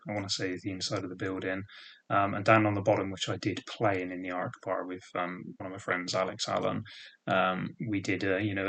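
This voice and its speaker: A man's voice: on the low side, creaky, fairly bright tone. A man in his thirties with a thick regional British accent, casually reminiscing in a rambling way. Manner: rambling, full of "sort of" and "um" fillers. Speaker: vlogger.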